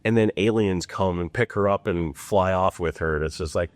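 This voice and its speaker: A man's voice: deep voice, moderate pace, dry recording. A man hosting a casual interview, playful and teasing in his questions. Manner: playful, teasing, animated reactions. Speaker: interview host.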